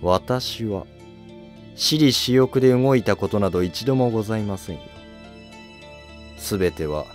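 A man playing a calculating, courteous court noble in a historical drama, polite, measured and self-assured. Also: lowish voice, soft background music, close to an everyday voice. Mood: smooth, defiant.

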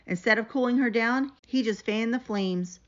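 A woman giving an animated, expressive read-aloud of a children's picture book. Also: on the high side, moderate pace. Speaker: storybook reader. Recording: dull, lo-fi sound.

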